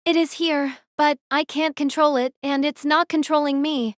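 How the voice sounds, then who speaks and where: A woman's voice: sits high, fairly even intonation, normal speed, dry recording, studio quality, lightly acted. A woman playing an earnest, guarded young female platoon leader in an audio drama, speaking in a serious, firm manner. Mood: grave, controlled.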